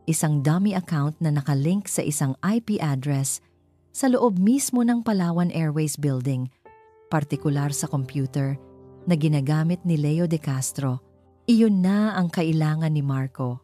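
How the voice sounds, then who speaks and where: Female Filipino narrator for dramatic love stories, low mellow voice over faint music. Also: unhurried. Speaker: storyteller, narrator. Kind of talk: dramatic scripted narration of a romance story.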